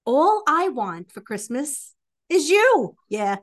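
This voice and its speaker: A woman's voice: sits high, very animated, slow pace. A woman doing playful, theatrical dramatic readings with an exaggerated, melodramatic delivery as a podcast co-host.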